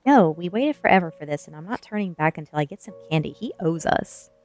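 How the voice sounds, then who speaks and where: Woman reading as a stubborn goth teenage girl, low-voiced. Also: moderate pace, natural voice. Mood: insistent. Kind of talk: storybook dialogue in an ASMR reading.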